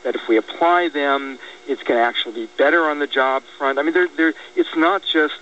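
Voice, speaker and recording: An American man speaking in a political radio discussion, halting and repeating words as he reasons things out. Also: a little high, fairly bright tone. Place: radio broadcast. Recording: dull lo-fi radio, distant, faint noise.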